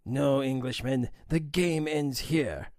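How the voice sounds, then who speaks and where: A man voicing a brutal, cold henchman who talks in a slow, threatening way in an audio drama. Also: mid-range voice, a little mellow, no room sound, studio quality. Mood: menacing.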